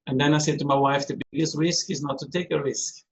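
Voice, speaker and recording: A man's voice: fairly high pitch, steady tone, quick pace. A man in his fifties from Austria, a cruise hotel manager answering interview questions in a chatty way, calling in from a ship. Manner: chatty, with some non-native phrasing. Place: on board a ship, remote call. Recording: slightly dull call audio with faint noise.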